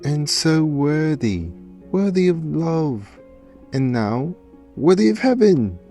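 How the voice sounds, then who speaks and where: An affectionate companion dog, acted by a man for a radio play, speaks in a gravelly, lively voice. The delivery is effusive and sentimental, with theatrical swoops over faint music. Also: fairly high pitch, takes it slowly, heavily acted. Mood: earnest, tender. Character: devoted pet dog, affectionate, dramatic, sentimental male companion.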